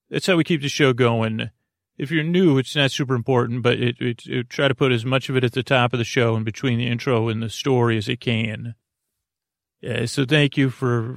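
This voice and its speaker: A man with a creaky voice hosting a bedtime podcast, talking in a soothing, meandering, halting way and often breaking off mid-thought. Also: mid-range voice, dry recording, clean studio sound. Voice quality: creaky, gravelly. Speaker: podcast host. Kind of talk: rambling bedtime podcast monologue.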